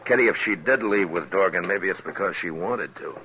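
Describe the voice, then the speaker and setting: A man's voice: sits low, brisk, sharp tone, dull audio, a little hiss or hum. An actor playing a terse, dry, authoritative frontier lawman in a 1950s western radio drama. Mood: measured. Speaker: lead actor and narrator. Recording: old 1950s radio recording, background murmur.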